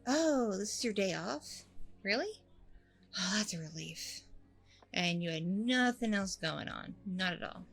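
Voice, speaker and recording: A woman's voice: mid-range voice, lively intonation, measured pace, fairly bright tone, slightly rough, faint music behind, full character voice. A woman playing a friendly, nervous single mom on a blind date in an ASMR roleplay, rambling casually in a bubbly way. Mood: relieved, reassuring. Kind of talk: ASMR audio roleplay, conversational.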